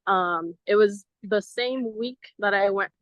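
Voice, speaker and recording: A young woman from Venezuela talks casually as an interview guest, her voice bright and clear. She rambles in a friendly way and says um and like often. The sound is dry and close. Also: medium pitch, slow, no room sound, muffled recording. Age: 20s. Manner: chatty, friendly, many ums and likes. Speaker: guest, ministry program member. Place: outdoors in front of a cathedral.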